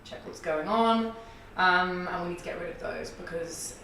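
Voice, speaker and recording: A young woman with a husky, low voice, chatting as a podcast guest and rambling through stories with frequent laughs. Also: normal speed, fairly bright tone. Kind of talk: casual interview chat. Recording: echoey room, distant mic, faint noise.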